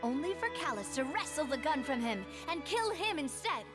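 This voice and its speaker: A woman voicing a determined young woman in a video game, laying out charges in formal, earnest, deliberate speech. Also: high voice, very animated. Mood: grave, insistent. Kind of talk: dramatic video game voice acting. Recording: music behind the voice.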